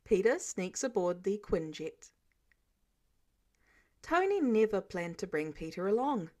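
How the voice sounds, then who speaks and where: A woman reading scripted fiction aloud as an expressive storyteller. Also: mid-range voice, a little slow. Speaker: audiobook reader of fan fiction.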